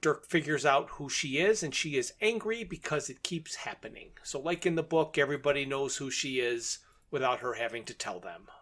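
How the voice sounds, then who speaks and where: A man's voice: medium pitch, normal speed, hardly any pauses, fairly bright tone. A man co-hosting a podcast, retelling a story step by step in conversation and often chuckling. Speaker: podcast host recapping a radio drama. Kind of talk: episode recap in conversation.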